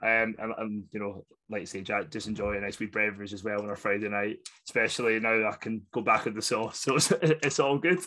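A man's voice: fairly low pitch, steady tone, brisk, few pauses, pushed and bright. A young adult man with a Scottish accent, co-hosting a casual football podcast, rambling with fillers and laughter. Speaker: podcast co-host. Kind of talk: casual chat.

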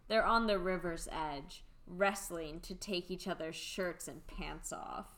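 A woman's voice: on the low side, measured pace, a bit bright. A young adult woman reading the stage directions in a podcast radio play, dry and matter-of-fact.

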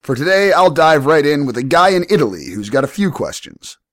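Ill American male podcast host, blunt and profane, lively pitch, dry close sound. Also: a little fast, no echo. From United States. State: ill, recovering from pneumonia. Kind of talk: solo advice podcast, reading listener mail.

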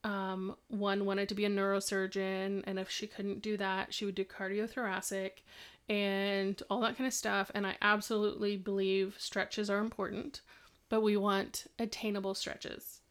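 Chatty mom co-host giving tips. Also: female voice, medium pitch, little pitch movement, normal speed, smooth. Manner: rambling, warm advice with fillers. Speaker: podcast co-host, parent. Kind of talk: casual chat, giving tips.

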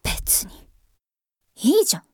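A woman's voice: a little slow, no room sound, studio quality, lightly acted. A woman acting a defiant, sullen school-age daughter in a voice drama, with curt, petulant speech.

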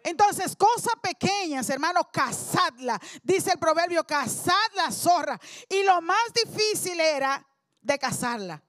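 A woman's voice: very high-pitched, animated, a little slow, fairly bright tone, no echo, clean studio sound. A woman preacher delivering a sermon, emphatic and repeating key words for effect.

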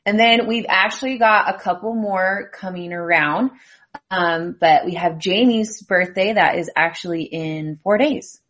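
A woman's voice: lowish voice, measured pace, a bit bright. Chatty young woman hosting with folksy exclamations. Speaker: host of a show with relatives. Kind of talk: casual group chat.

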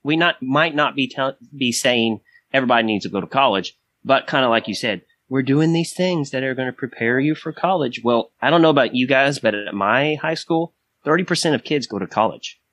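A man's voice: medium pitch, a little fast, fairly bright tone. A middle-aged man in education talking casually on a podcast, opinionated and driving points home with repetition. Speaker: high school educator.

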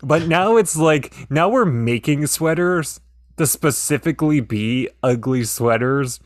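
A man's voice: fairly high pitch, animated, a little slow, dry recording, clean studio sound. A man co-hosting a podcast in casual chat, talking in a hesitant, rambling way with many restarts. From Pennsylvania. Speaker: podcast co-host.

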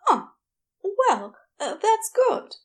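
Woman acting sweet angelic male hospital worker, high lilting pitch, shy, hesitant, polite, audiobook character. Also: huge pitch swings, extremely slow, full character voice. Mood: nervous, polite. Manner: hesitant, softly gushing.

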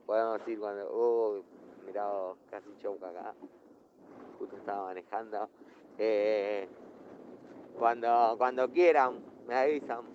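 A man with an Argentine accent playing an amiable, hesitant middle-aged family man who rambles haltingly. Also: lowish voice, steady tone, darkish tone, lightly acted. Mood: friendly rambling. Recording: dull, noisy voice message from vehicle.

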